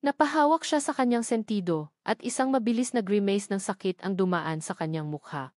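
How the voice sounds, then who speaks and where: Woman, Filipino accent, sentimental romance narrator, measured short phrases, mid-pitched voice, dry close sound. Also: a little mellow, dry recording. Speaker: story narrator. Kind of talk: dramatic first-person romance story narration.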